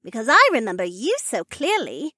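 A woman's voice: fairly high pitch, wildly swooping pitch, normal speed, pushed and bright, no echo, clean studio sound, exaggerated voice. A woman playing an earnest, insistent young heiress in audiobook dialogue.

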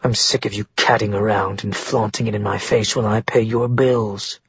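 A man's voice: fairly low pitch, fairly even intonation, normal speed, vocal fry, a bit bright, husky, no echo, dull audio, voice pushed down, full character voice. A man voicing a stern, powerful father who speaks coldly and growls, in an audiobook reading. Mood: cold anger. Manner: cold and emotionless, growling.

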